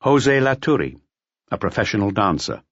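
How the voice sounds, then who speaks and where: Lively, lower-pitched male audiobook narrator. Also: brisk, dry recording. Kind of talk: expressive scripted narration of a mystery novel. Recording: slightly dull, lo-fi audio.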